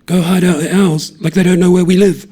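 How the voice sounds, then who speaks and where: A man's voice: sits high, little pitch movement, brisk, dark tone, slightly rough, voice pushed up. A man voicing a panicked young male road worker who hisses and demands in urgent bursts. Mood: panicky. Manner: hissing, urgent, frantic.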